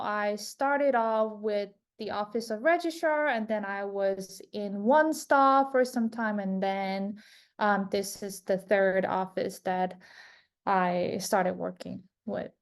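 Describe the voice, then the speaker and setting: A woman from South Korea with a Korean accent, introducing herself as a student success advisor at an online info session in an unhurried, friendly way with frequent ums. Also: medium pitch, smooth.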